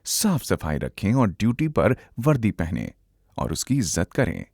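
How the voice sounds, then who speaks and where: A man's voice: low-pitched, wildly swooping pitch, measured pace, gravelly, a little mellow, no echo. A man narrating a scripted instructional film, emphatic and instructive, addressing viewers directly on a close, dry microphone. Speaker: narrator, presenter.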